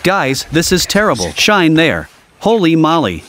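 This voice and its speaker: A man's voice: a little high, huge pitch swings, unhurried, a bit bright, a little hiss or hum, full character voice. A man dubbing an adventurous male urban explorer who hosts a vlog. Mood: explanatory. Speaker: vlog host.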